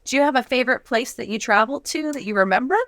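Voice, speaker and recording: A woman hosting a casual, conversational interview, chatty and quick to echo back what she is told. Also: a little high, a bit bright. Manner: chatty, reacts and echoes answers.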